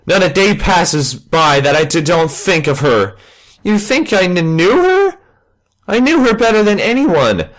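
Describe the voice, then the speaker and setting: Man acting a slow, stammering haunted ex-detective. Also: high-pitched, sing-song, a bit bright, exaggerated voice. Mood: anguished. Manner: halting, stammering. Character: troubled, bitter male ex-detective and killer. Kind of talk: animated superhero comic acting.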